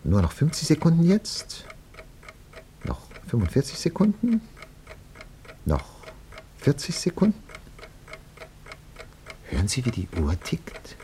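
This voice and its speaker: An actor playing a fussy, melodramatic announcer in a 1950s German radio play, narrating with mock solemnity. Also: male voice, a little high, huge pitch swings, slow pace, long pauses, warm tone, heavily acted. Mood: urgent, ominous. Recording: 1950s radio recording, faint noise.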